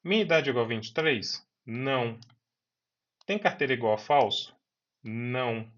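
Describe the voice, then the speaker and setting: A man's voice: medium pitch, lively intonation, normal speed, fairly bright tone. A man teaching a programming lesson, talking students through each step and repeating key words. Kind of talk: screen-recorded coding lesson. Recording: dull lo-fi voice recording.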